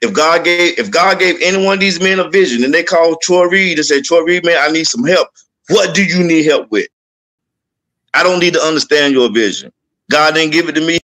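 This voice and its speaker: A man's voice: a little high, a little fast, bright, forceful tone, poor audio. A man guest on a video-call panel, speaking earnestly about faith and community with a Southern US accent, repeating lines like a list. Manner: earnest, repetitive, speaks in lists. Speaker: podcast guest. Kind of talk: testimony-style panel talk.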